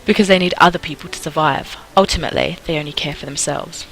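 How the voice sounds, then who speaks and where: A woman voicing a stern, cynical mother in a visual novel, cold and cutting, in a hushed delivery. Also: sits low, quick pace, a little hiss or hum, low-quality recording. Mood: bitter, cynical. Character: stern, cynical mother scolding her daughter.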